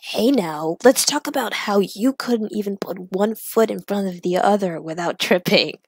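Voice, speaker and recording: A woman's voice: medium pitch, normal speed, breathy edge, no echo. A woman voicing a young male superhero in an audio story, cocky and teasing yet caring.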